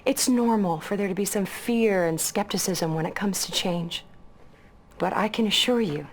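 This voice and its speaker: A woman playing a corporate spokesperson giving smooth, placating press statements. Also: mid-range voice, moderate pace, a little hiss or hum, close to an everyday voice. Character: reassuring female corporate spokesperson.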